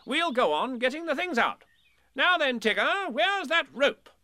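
A man voicing a fussy, bossy male rabbit in a storybook reading, brisk and busy. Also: very high voice, big pitch swings, bright, forceful tone, voice pushed up, full character voice.

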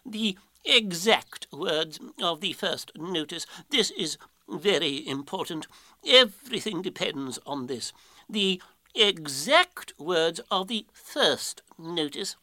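A man's voice: high-pitched, expressive, bright, forceful tone, dry recording, full character voice. A man voicing a pompous, bluffing owl in a children's story, hesitant and ponderous, humming and drawing out his words. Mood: grave, insistent. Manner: hesitant, drawn-out, ponderous delivery with ums and hums. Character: wise-seeming, pompous, bluffing owl, slow and thoughtful. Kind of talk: children's storybook character reading.

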